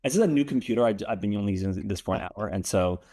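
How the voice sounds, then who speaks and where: A man hosting an interview, asking questions in a relaxed, conversational way. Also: lowish voice, fast talker. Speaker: interview host. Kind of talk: casual interview talk.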